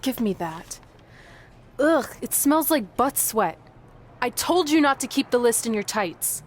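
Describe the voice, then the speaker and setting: A woman's voice: on the high side, lively intonation, measured pace, a little hiss or hum. A woman playing a driven, sarcastic young indie wrestler in a video game, with a dry, teasing delivery. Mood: exasperated. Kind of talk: scripted game cutscene dialogue.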